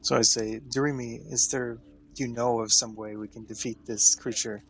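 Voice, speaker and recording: A man with a fairly flat, medium-pitched voice talks casually as a player in a tabletop role-playing game. There is faint noise behind him. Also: normal speed, low-quality recording.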